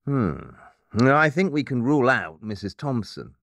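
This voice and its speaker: A man acts out a brilliant, eccentric male detective for an audio play. He is condescending and matter-of-fact, taking his time while his pitch leaps about. The sound is very dry, with no room around it. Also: very animated, unhurried, a bit bright, no room sound. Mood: absorbed, analytical. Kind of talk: audio drama acting.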